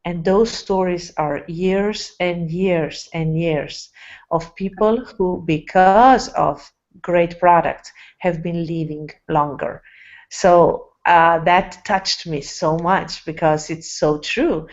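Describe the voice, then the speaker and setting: A woman's voice: fairly low pitch, takes it slowly, highs cut off. A woman speaking English as a second language, giving an online sales team presentation with many fillers and restarts and an enthusiastic manner. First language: not English. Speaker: network marketing presenter.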